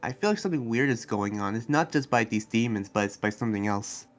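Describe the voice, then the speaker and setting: A man's voice: on the low side, animated, fast, soft background music, close to an everyday voice. A man playing an earnest, protective demon fighter in an improvised anime game roleplay, talking in a hesitant, rambling way. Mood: troubled, earnest. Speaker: roleplay series player.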